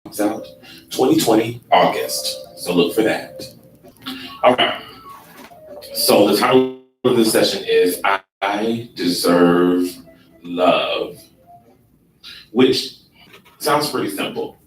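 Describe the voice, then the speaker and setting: Deep, sleepy-voiced man presenting in an echoey room. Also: soft background music. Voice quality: sleepy, laid-back delivery. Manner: unhurried with frequent ums. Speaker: workshop presenter. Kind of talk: relaxed workshop presentation. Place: conference room. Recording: echoey room from far off with noise.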